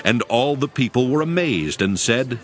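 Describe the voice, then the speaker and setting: Expressive male scripture narrator over music. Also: mid-range voice, normal speed. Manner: formal storytelling.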